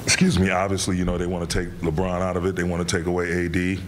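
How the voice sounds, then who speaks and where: A middle-aged man, a head coach, answering reporters' questions after a game in a measured way, with many fillers and repeated words. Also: deep voice, completely flat delivery, a little hiss or hum. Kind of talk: postgame press conference answers. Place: press room in front of a sponsor backdrop.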